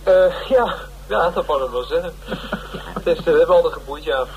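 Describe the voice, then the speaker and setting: An actor playing a hesitant, deferential interviewer in a radio drama. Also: male voice, on the high side, normal speed, slight background noise, close to an everyday voice, low-quality recording. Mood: eager, awkward. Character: hesitant, polite man interviewing theatre people. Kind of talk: radio drama acting, casual dialogue.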